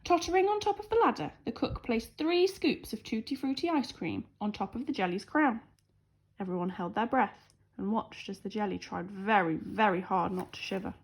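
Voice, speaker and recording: A woman's voice: medium pitch, animated, normal speed, breathy edge, low-quality recording. A woman teacher reading a storybook aloud to young children with dramatic, emphatic expression.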